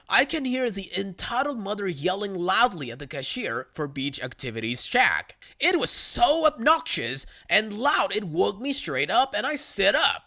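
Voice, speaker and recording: Male narrator, high-pitched, highly varied intonation, bright forceful tone, creaky edges, scripted online storytelling.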